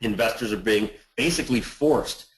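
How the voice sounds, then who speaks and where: A man presenting on an investment webinar, speaking haltingly and often repeating words. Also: mid-range voice. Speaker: investment manager hosting a client webinar. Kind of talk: presentation on a conference call.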